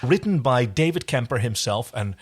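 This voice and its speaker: A man's voice: medium pitch, lively intonation, moderate pace, breathy edge. A man co-hosting a podcast in casual, unscripted chat, with jokey, wry asides. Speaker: podcast co-host.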